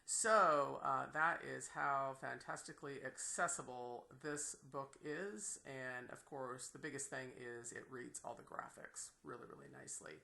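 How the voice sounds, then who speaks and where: A woman giving an unscripted, enthusiastic screen-share software tutorial as a teacher, with a creaky voice. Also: rumbling low, measured pace, hardly any pauses. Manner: enthusiastic, exclaims in delight.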